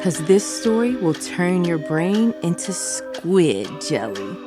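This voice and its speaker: A dead-eyed rock-star monster co-hosting a horror show. The voice sits a bit low and swings dramatically, drawing words out with swagger. Music runs underneath. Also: female voice, very animated, slow. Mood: cool, ominous. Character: cool, dead-eyed ex-rocker monster in sunglasses. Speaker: podcast co-host. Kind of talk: scripted horror storytelling.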